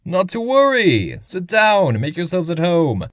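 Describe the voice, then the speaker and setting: A man's voice: sits high, huge pitch swings, a little slow, no room sound, clean studio sound, heavily acted. An actor playing a haughty, wealthy nobleman in a comic dub, speaking in a formal and pompous manner. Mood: courteous.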